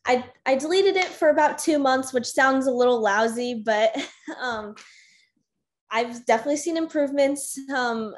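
A young American woman hosting a casual video-call discussion, talking with many fillers and soft laughs. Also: sits high, normal speed. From United States. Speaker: discussion host. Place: home room with bookshelves. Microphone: webcam on a video call.

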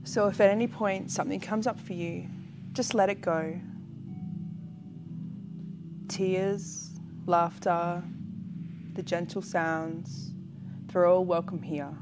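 A woman with a fairly low voice guides a relaxation meditation. She speaks gently and calmly, taking her time and pausing often between short phrases. Music plays clearly behind her. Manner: gentle, calm, unhurried with long pauses. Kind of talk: guided pregnancy relaxation meditation, spoken slowly and soothingly. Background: background music.

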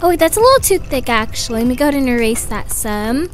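A woman's voice: high-pitched, lively intonation, fast, soft background music, voice pushed down. A woman voicing a perky, self-satisfied kindergarten teacher who chats and instructs in a roleplay story. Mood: pleased, chatty. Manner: chatty, self-admiring, instructing. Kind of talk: animated doll-game roleplay voice acting.

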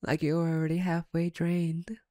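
Woman voicing a female vampire captor, low-pitched, very mellow, teasing, sardonic, domineering, intimate ASMR roleplay. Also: flat delivery, normal speed, no echo, studio quality, close to an everyday voice. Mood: playful teasing.

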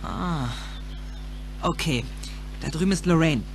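An actor playing an impatient, quick-witted teenage boy in an audio drama. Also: fairly high pitch, lively intonation, creaky, background noise, heavily acted. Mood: urging, friendly. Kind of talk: dubbed-film style audio drama acting.

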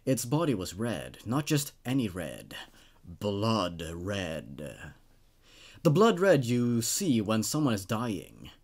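A man in his twenties reading a horror story aloud, dramatically and with deliberate pauses for suspense. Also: fairly low pitch, huge pitch swings, a little mellow. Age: 20s. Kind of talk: dramatic horror story narration with brief casual comments.

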